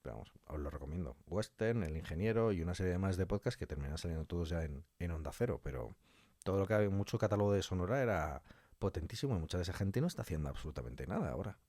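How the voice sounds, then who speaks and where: Low-voiced man chatting as a podcast guest. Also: animated, racing, darkish tone, dry recording, clean studio sound. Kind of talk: casual, opinionated conversation.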